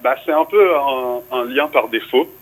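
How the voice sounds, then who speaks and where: A man's voice: mid-range voice, moderate pace, poor audio. A young man from France, a rapper guest in a radio interview, talking casually with fillers. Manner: relaxed, casual, speaks with fillers.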